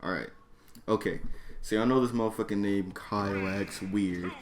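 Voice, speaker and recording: A man's voice: fairly low pitch, steady tone, normal speed. A man reading his own comedic story aloud in a slangy, profane, exasperated way. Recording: noisy background, mouse or mic clicks.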